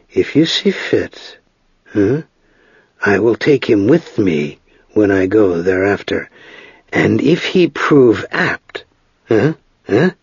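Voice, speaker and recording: Man playing a slow, husky, quiet wizard. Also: on the low side, no room sound, lo-fi sound, heavily acted. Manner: slow, gentle, certain, halting. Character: quiet, grave, wise middle-aged male wizard.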